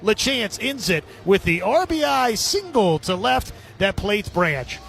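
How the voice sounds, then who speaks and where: Male play-by-play radio announcer, emphatic and deliberate, high voice with gravelly creak. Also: big pitch swings, a bit bright. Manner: emphatic, drawn-out calls. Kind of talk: excited baseball radio commentary.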